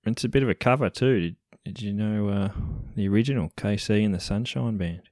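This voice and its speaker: A man with an Australian accent hosting a casual music podcast, upbeat and quick to laugh. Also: on the low side, a little mellow, no room sound, clean studio sound. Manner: upbeat, laughs easily, many fillers. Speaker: podcast host.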